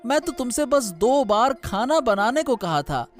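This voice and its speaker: A man's voice: very high voice, very animated, a little slow, full character voice. A man plays a harried courtier son in a cartoon moral tale. He fusses and complains in a plaintive voice, with music playing underneath. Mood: exasperated. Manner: plaintive, fussing. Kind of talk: Hindi cartoon moral-story dubbing.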